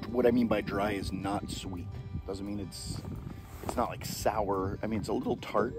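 A man's voice: on the low side, moderate pace, over music. A man, chatting casually on a boat on a lake while he vlogs about fishing and tastes drinks. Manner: relaxed, laid-back tasting commentary. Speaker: fishing vlogger. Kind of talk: casual outdoor vlog chat.

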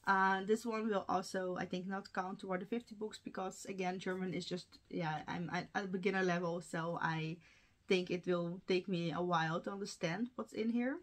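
A woman's voice: lowish voice, fairly even intonation, normal speed. A young woman whose first language is Russian, talking casually to camera from home about her reading plans, with fillers and small laughs. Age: young adult. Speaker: book vlogger. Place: home room with bookshelves. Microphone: camera framing, seated at desk.

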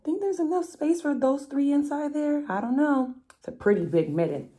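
A woman's voice: high voice, animated, moderate pace, mellow, a little hiss or hum. A young adult woman reading a picture book aloud to children, expressively, with chatty explanatory asides. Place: room at home, indoors.

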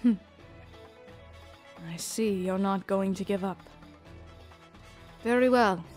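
A woman voicing a thoughtful young adventurer in an anime audio drama, phrasing things deliberately with pauses. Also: medium pitch, darkish tone, faint music behind, close to an everyday voice. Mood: wary, resolute.

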